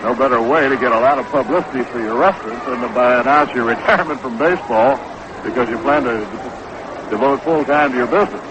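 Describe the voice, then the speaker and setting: A man's voice: mid-range voice, fast talker. An American man calling baseball play-by-play in the style of 1960s radio sports commentary. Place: stadium broadcast booth. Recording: dull, noisy 1960s AM radio broadcast.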